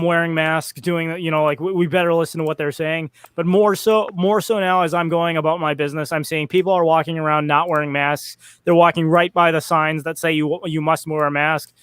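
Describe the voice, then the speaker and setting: A man's voice: a little high, a bit bright, clear, resonant tone, dry recording. A man in a casual political podcast chat who stammers through restarts and talks wryly.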